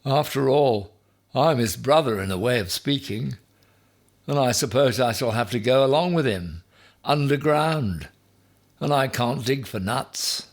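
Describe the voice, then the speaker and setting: A man's voice: medium pitch, expressive, measured pace, pitched above the performer's own voice. A man voicing a small, timid, polite hedgehog with a plaintive manner in a children's storybook. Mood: doleful worry. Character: small hedgehog brother, timid, polite, doleful little animal.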